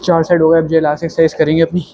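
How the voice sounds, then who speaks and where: A man's voice: fairly high pitch, monotone, very fast, warm tone. A young man talking casually to the camera between sets, in a gym. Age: young adult. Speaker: gym vlogger. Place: busy indoor gym. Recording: gym crowd noise behind.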